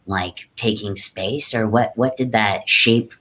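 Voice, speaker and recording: A man with a lowish voice, soft-spoken and reflective, talks through his art in a relaxed interview. He speaks unhurriedly and tells a story from his youth. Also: takes it slowly. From Great Lakes region, North America. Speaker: guest artist, hoop dancer.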